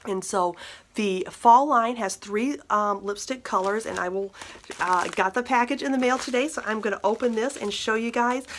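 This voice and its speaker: A woman's voice: fairly high pitch, moderate pace, a little hiss or hum. A woman in her thirties talks straight to the camera in a chatty, upbeat way, her voice a touch nasal from a cold. She keeps things casual, with plenty of "um"s and easy asides, recorded in a bedroom at desk distance. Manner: chatty and upbeat, with many fillers and small laughs. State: congested with a cold, slightly nasal. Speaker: beauty vlogger. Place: bedroom, indoors.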